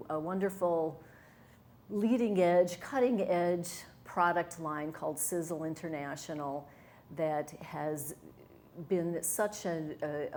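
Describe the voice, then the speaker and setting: Low-voiced earnest woman guest. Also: darkish tone, slight background noise. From Wisconsin, USA. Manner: earnest, enthusiastic, with fillers and restarts. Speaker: interview guest, hypnotherapist and wellness coach. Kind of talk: conversational interview.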